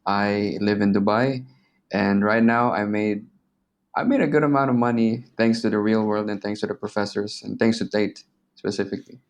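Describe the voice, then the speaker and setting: Low-pitched young man, casual and slangy. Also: steady tone, moderate pace. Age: 20s. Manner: informal, slangy, swearing. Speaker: interview guest. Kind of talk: casual interview chat.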